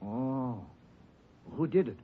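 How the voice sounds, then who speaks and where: A man's voice: medium pitch, expressive, slow, husky. A man acting in a 1950s radio drama, playing a breezy, evasive union steward. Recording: dull old radio broadcast.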